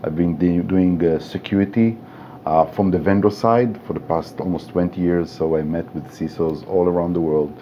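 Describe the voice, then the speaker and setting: A man in his sixties with an Israeli accent, speaking haltingly as a panelist. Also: deep voice, fairly even intonation, mellow. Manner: halting, searching for words. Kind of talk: panel discussion. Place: hotel room studio set. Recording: dull sound, faint room hiss.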